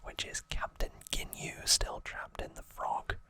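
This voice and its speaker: An ASMR host whispering a rambling recap in a breathy, halting voice, correcting slips along the way, close to the microphone. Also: sharp tone. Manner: hesitant, halting phrasing with self-corrections.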